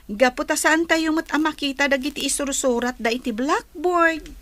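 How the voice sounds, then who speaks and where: A woman voicing a gentle young student in an audio drama. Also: high voice, normal speed, dry recording. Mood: helpful.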